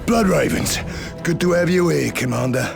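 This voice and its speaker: Game grunt: deferential, strained guardsman, high-pitched, animated, music and gunfire behind. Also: normal speed, creaky. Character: strained, deferential human guardsman soldier under fire. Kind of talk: video game character acting.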